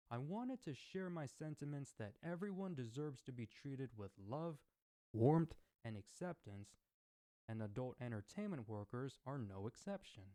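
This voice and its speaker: A man's voice: mid-range voice, warm tone. A man hosting his own scripted discussion segment as a roleplay creator, speaking earnestly in formal wording.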